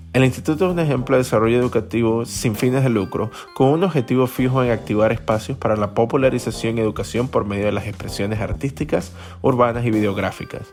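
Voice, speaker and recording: Podcast host with faint music behind. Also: male voice, medium pitch, vocal fry. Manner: reads promos, then lively questioning. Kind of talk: scripted intro then interview.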